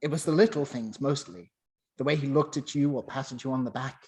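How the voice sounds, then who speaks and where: A man's voice: mid-range voice, brisk, darkish tone, lightly acted. A man playing a stubborn, haunted old miser in a radio play, theatrical and exclaiming. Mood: fond, nostalgic. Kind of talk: amateur radio play acting.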